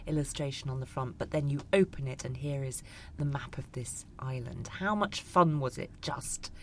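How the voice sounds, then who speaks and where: A woman's voice: rumbling low, normal speed, a little hiss or hum. A woman interviewing an author, asking long, eloquent questions about the author's books. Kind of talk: literary interview.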